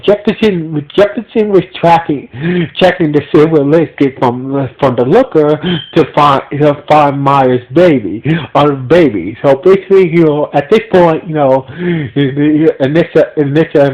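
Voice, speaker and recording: A man with a stuffy nose, recapping a TV episode as a podcast reviewer, talking in halting bursts full of restarts and repeated fillers. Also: a little high, warm tone. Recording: dull lo-fi audio.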